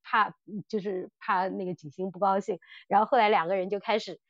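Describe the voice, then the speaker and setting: A slightly muffled, very dry recording of a woman podcast co-host. She talks casually at a middling pitch, telling things in an animated way and circling back over her phrases. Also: a little fast, no room sound. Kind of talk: casual chat about audio dramas.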